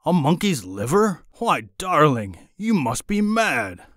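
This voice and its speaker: A man's voice: fairly high pitch, big pitch swings, slow pace, a bit bright, no room sound, studio quality, pitched above the performer's own voice, exaggerated voice. A man voicing a dragon king in a storybook reading, exclaiming in disbelief and giving firm orders. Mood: incredulous. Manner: incredulous, exclaiming. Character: dragon king, doting husband, exasperated.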